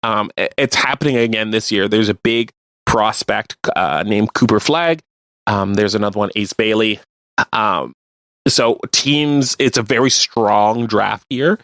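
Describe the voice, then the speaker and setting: Low-voiced man, casual sports chat. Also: a little slow, fairly bright tone, no room sound. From Canada. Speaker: radio co-host.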